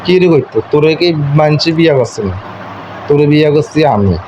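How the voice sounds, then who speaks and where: Insistent high-pitched man, eastern Bengali dialect. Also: lively intonation, normal speed. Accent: eastern Bengali regional dialect. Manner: insistent, repetitive, domineering lecturing. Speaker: husband on a call with his wife. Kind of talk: informal domestic argument on a phone call. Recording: muffled call recording with faint noise.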